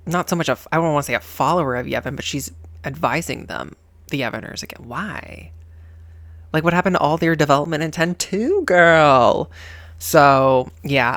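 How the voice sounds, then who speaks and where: A man's voice: a little high, fairly bright tone, no echo. A man giving casual fan reaction commentary as a video host, chatty, exclamatory and emphatic. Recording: music behind the voice.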